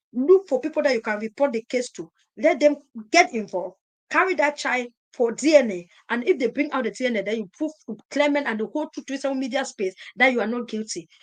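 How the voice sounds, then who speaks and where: A woman hosting a live stream, talking emphatically, repeating her points and firing rhetorical questions. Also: high voice. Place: at home in Europe.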